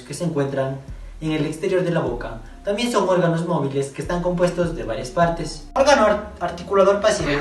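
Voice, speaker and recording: A young adult student giving an explanatory class presentation, recorded with echo and at a distance from the microphone. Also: mid-range voice.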